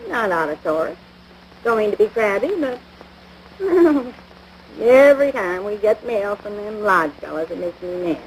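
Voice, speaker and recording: A woman's voice: mid-range voice, animated, measured pace. An actress playing a crabby small-town American housewife and mother in a domestic comedy, nagging in folksy, scornful talk. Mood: exasperated. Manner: folksy ungrammatical speech, scornful, exasperated. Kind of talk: domestic radio-style situation comedy. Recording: noisy vintage broadcast recording.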